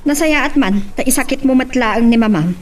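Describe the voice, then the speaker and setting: An actress playing a concerned aunt in an Ilocano radio drama. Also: female voice, high voice, moderate pace, slight background noise, lightly acted. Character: concerned aunt questioning her niece. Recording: distant from the microphone.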